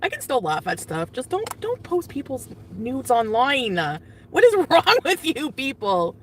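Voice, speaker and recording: A woman's voice: high voice, wildly swooping pitch, measured pace, fairly bright tone. Vlogger, woman, rambling and laughing, off-mic with faint noise behind. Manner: rambling, lots of fillers, laughs often. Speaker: vlogger in the clip being reacted to. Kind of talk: casual vlog and live-stream chat. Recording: distant, noisy clip audio.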